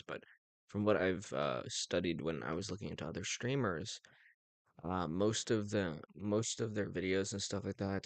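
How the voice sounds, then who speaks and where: A young man rambles through a casual livestream chat in a low, gravelly voice. He chuckles often and keeps restarting his sentences around fillers, and the sound is dry and close. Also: no echo. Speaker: streamer.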